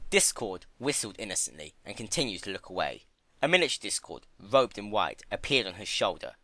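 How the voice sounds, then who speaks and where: A man's voice: deep voice, pushed and bright, no room sound. A man narrating a story as a dramatic reading. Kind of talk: dramatic reading of fan fiction.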